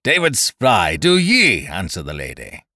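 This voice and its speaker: A man's voice: deep voice, wildly swooping pitch, unhurried, bright, forceful tone, no echo, clean studio sound, heavily acted. A man voicing a grim ship's ringleader who speaks curtly with a seaman's growl. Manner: curt, growling seaman's speech.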